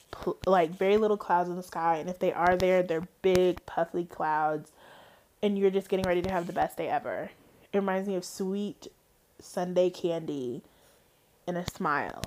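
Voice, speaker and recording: A woman's voice: medium pitch, a little hiss or hum. A young woman from North Georgia with an Atlanta Southern accent, chatting casually on her podcast, rambling with lots of fillers. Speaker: podcast host. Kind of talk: casual chat.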